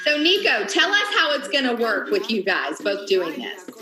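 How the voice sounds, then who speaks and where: A woman's voice: fairly high pitch, big pitch swings, moderate pace, over loud music. A middle-aged woman hosting an upbeat local news interview over a video call. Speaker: TV lifestyle segment host. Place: indoors at home, small room. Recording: roomy home video call.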